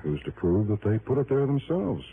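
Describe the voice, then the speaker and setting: A man's voice: lowish voice, very animated, moderate pace. An actor playing an earnest, worried, methodical male insurance official in a vintage radio drama, explaining things with emphasis. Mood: earnest, concerned. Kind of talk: 1950s-60s radio drama acting. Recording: tinny, noisy vintage radio recording.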